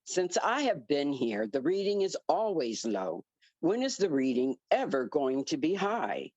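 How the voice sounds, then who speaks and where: A woman's voice: sits low, big pitch swings, unhurried, a little mellow, dry recording, muffled recording, exaggerated voice. A woman reading the part of an impatient fighter in her forties in a dramatic script reading. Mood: impatient, curt. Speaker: actor in a live script reading. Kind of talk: dramatic script table read.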